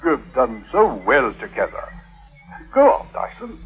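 An actor playing a strangely genial, approving husband in 1950s radio drama, effusive and repeating himself. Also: male voice, mid-range voice, huge pitch swings, a little slow, faint music behind. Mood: blandly approving. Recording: tinny, band-limited 1950s radio recording.

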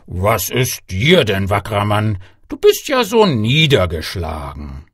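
A man's voice: lowish voice, huge pitch swings, a little slow, husky, no room sound, studio quality, full character voice. A man voicing a ragged, talkative beggar in a fairy-tale audiobook, shrewd and kindly, giving emphatic, persuasive advice. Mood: concerned, probing. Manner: emphatic, proverb-laden, persuasive. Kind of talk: storybook character voice in an audiobook.

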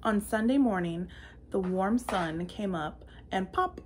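A woman's voice: medium pitch, expressive, a little slow, a little mellow. Young woman, story-time reader, theatrical, playful, picture book read aloud, noticeable background noise. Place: indoor room with bookshelves.

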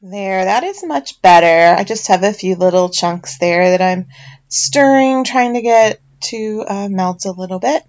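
A middle-aged woman talks through a home project in a casual voiceover. Her voice sits at an ordinary middle pitch, and her chatty, self-deprecating manner comes with small fillers and restarts. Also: animated, unhurried, a little mellow, muffled recording. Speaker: home soap maker.

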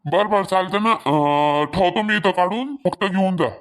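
A man's voice: high-pitched, normal speed, fairly bright tone. A man voicing an obliging but put-upon market vegetable seller in a comedy cartoon, colloquial. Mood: conceding. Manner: colloquial, protesting bargainer. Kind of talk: Marathi comedy cartoon dialogue.

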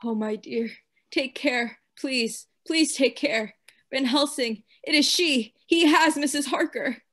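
A woman playing a tormented madman in a radio play, raving and pleading in halting, repetitive phrases. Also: sits high, a bit bright, full character voice. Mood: desperate pleading. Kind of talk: theatrical radio play acting.

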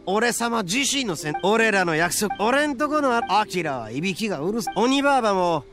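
A male game voice actor playing a brash, boastful young red oni with a cocky, rough, theatrical way of talking and a gravelly voice. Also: sits high, sing-song, moderate pace, music in the background. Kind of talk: Japanese anime game voice acting.

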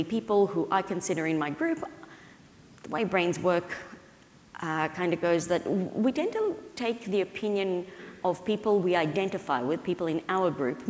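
Low-pitched woman speaking in a reverberant hall. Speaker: conference keynote speaker. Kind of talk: conference talk, explanatory and conversational. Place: indoor stage at a conference, at a podium.

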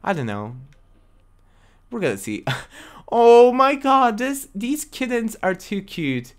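A man's voice: very high-pitched, slow pace, smooth. A young man with English as a second language, chatting casually to viewers while streaming a life-simulation game from a small room at home. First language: not English. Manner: chatty, excitable, talks to chat viewers. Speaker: livestream host. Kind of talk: casual gaming livestream chat.

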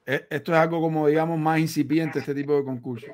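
A middle-aged Cuban man asks questions at an easy pace, nodding along with short replies. His voice sits a little higher than most men's. He speaks into a studio microphone. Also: fast, roomy sound. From Cuba. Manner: short affirmations, relaxed questions. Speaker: interviewer, host. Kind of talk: conversational interview. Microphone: studio mic on stand, headphones.

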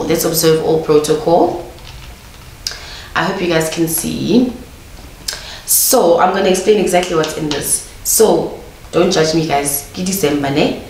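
A young South African woman with a Sotho accent, talking to her viewers in an easy, chatty way and sprinkling in affectionate pet names. A cold leaves her a little nasal and her voice sits on the low side. The room around her is roomy, with a faint hiss underneath. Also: darkish tone. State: nasal from a flu. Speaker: vlogger. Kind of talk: casual chat to camera. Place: small room indoors, couch, pink lighting. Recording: roomy indoor sound with faint noise.

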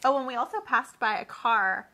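A woman's voice: a little high, normal speed, sharp tone, slight background noise. A young woman guest chatting casually and recommending things in a friendly way, filmed in a living room. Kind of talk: casual chat. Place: living room with window, indoors.

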